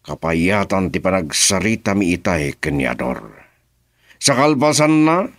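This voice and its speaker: Male actor, scheming boss, slow mocking delivery, lively swooping pitch, radio drama. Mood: angry. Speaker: boss on a phone call.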